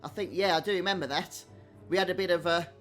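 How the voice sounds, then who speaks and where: A male game streamer giving casual live commentary. His voice is a little higher than average, and he talks chattily to his viewers. Faint music plays behind him. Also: fairly even intonation, quick pace, a bit bright.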